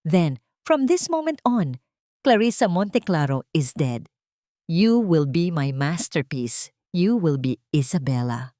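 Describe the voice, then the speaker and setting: A woman's voice: sits low, very animated, takes it slowly, dark tone, no room sound, clean studio sound, full character voice. A woman voicing a solemn, haunted male surgeon who speaks with commanding intensity in an audio drama. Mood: firm, intense. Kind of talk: melodramatic audio drama dialogue.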